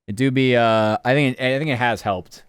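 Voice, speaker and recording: A man's voice: mid-range voice, steady tone, a little fast, smooth, no echo, studio quality. A man streaming live, chatting casually and rambling from topic to topic while answering his chat.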